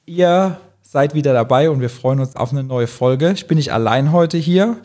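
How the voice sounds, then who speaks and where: A man hosting a podcast in a chatty, friendly way, slightly hoarse from a cold. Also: medium pitch, moderate pace, darkish tone. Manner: chatty, friendly, fillers like "gell" and "ne". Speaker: podcast host. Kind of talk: casual podcast chat.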